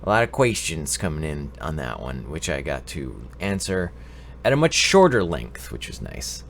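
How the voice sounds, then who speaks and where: A man's voice: very deep voice, big pitch swings, moderate pace, gravelly, a little hiss or hum. A man with a stuffy cold hosting a casual live stream, rambling and joking as he greets chat viewers by name. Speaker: livestream advice show host.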